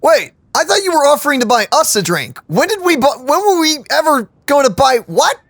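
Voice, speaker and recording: A woman's voice: high voice, sing-song, brisk, no echo. A woman streaming a game, chatting and reacting casually as she plays. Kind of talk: casual playthrough chat and reactions. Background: soft game music.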